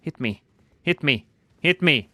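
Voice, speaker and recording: A man from Norway with a Norwegian accent, streaming a game live and joking with his chat while reacting loudly to the action. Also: on the high side, lively intonation, unhurried. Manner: playful, joking banter with chat.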